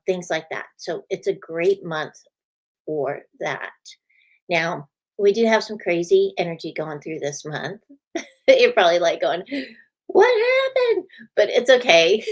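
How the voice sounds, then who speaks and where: A woman hosting a spiritual show in a casual chat, greeting viewers by name and laughing often, her voice a little scratchy tonight. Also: fairly low pitch. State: slightly scratchy voice. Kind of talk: casual live-stream chat.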